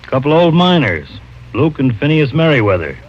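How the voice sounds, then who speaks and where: An actor playing a folksy, guarded small-town sheriff, in 1950s radio drama style. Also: male voice, fairly high pitch, sing-song, a little fast. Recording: muffled old radio broadcast recording.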